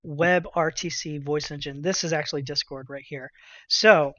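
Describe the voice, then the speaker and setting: A man giving an informal, unscripted software walkthrough into a headset mic, casual and halting as he thinks aloud. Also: fairly high pitch, steady tone, no echo, lo-fi sound. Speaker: tech tutorial presenter. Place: indoors at a computer. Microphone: computer headset mic.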